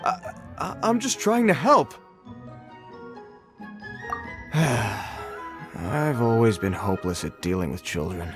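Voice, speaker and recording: An earnest guard on patrol, with a medium-pitched, very expressive voice. He speaks formally and a bit awkwardly, like a video game side character, with music clearly playing behind him. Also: a little slow. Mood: flustered. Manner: formal, hesitant, stammering when flustered. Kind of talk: animated video game voice acting.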